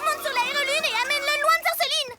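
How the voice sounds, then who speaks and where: A woman's voice: extremely high pitch, steady tone, fast talker, loud music behind the voice. A woman voicing a brave young girl owl hero in a cartoon dub, eager and encouraging. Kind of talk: cartoon dubbing.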